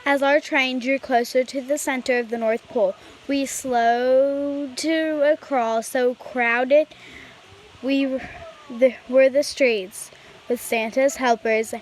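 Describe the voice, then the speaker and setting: A woman reading a children's picture book aloud, a little haltingly, in an amateur home recording. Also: sits high, fairly even intonation, slight background noise, poor audio. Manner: halting read-aloud with stumbles. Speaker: storybook reader.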